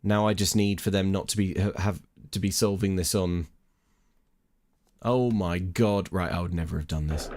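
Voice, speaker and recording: Chatty streamer, low voice. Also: male voice, fairly even intonation, moderate pace, darkish tone. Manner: chatty, talks to his chat, self-mocking. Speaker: let's play streamer. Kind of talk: casual gameplay commentary.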